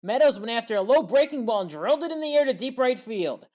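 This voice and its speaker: Woman narrating scripted sports highlights. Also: lively intonation, quick pace, a little mellow. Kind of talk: scripted play-by-play recap narration.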